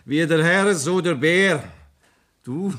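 A boastful Bavarian cattle trader with a fairly high voice. He is slippery, self-justifying and argumentative, in 1960s radio-drama style. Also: slow pace, a bit bright. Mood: wily, defensive.

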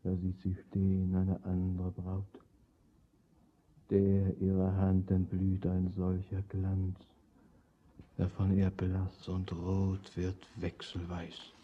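An actor playing a brooding, visionary bridegroom in a radio drama, reciting verse in a solemn, incantatory manner. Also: male voice, low voice, monotone, takes it slowly, warm tone, a little hiss or hum. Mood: solemn, foreboding. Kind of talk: poetic radio drama acting. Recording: dull lo-fi radio recording.

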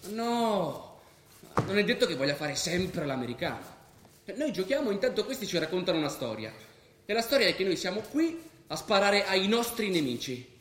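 A man's voice: high voice, big pitch swings, normal speed, a bit bright. A man acting the part of a restless, idealistic, rebellious young man in a theatrical radio drama. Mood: animated storytelling. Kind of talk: theatrical radio drama, dialogue and first-person narration. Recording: roomy stage sound with faint noise.

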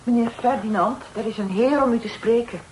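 A woman's voice: fairly high pitch, brisk, close to an everyday voice. A woman playing a timid, deferential household servant in a radio play. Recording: noisy old radio play recording.

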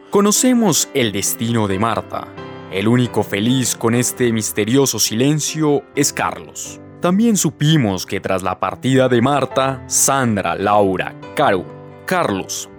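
A man plays a classic narrator of a radio soap opera, romantic and theatrical. His delivery swings in pitch, over faint music. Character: romantic old-fashioned male radionovela narrator.

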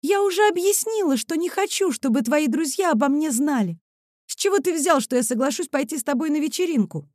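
A woman narrator plays an easily flustered woman in her late twenties from an audiobook romance. She is touchy and exclaims indignantly. Her pitch is on the high side and the sound is dry. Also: expressive, brisk, a little mellow, dry recording.

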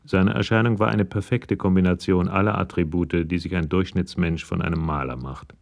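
A man's voice: low voice, steady tone, moderate pace. A man reading scripted narration for a radio crime drama. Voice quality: gravelly.

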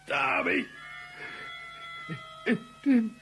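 A man's voice: very slow, pushed and bright, soft background music. An actor playing an impatient, eccentric gunman with a theatrical manner in a satirical radio play. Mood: puzzled, faltering.

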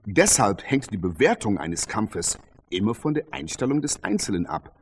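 An actor playing a proud, quick-witted champion boxer and showman, boastful and provocative in a radio drama. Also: fairly low pitch, sing-song, slight background noise. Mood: earnest. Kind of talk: radio drama interview scene.

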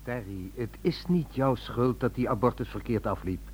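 An actor playing a preoccupied but compassionate helpline volunteer, hesitant and earnest, in a Dutch radio play. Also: male voice, medium pitch, moderate pace, darkish tone, slight background noise, close to an everyday voice. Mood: compassionate, probing. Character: preoccupied male crisis helper, hesitant yet compassionate.

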